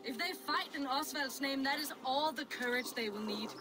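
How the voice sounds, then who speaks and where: Woman acting a high-voiced, steadfast medieval leader. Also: moderate pace, close to an everyday voice. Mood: rallying. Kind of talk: video game cutscene acting.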